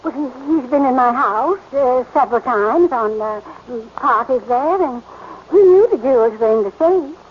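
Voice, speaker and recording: High-voiced haughty society matron. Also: female voice, animated, moderate pace. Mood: poised. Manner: haughty, theatrical. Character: imperious, fussy wealthy society matron. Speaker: radio actor. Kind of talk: 1940s radio crime drama acting. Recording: muffled, noisy 1940s radio broadcast.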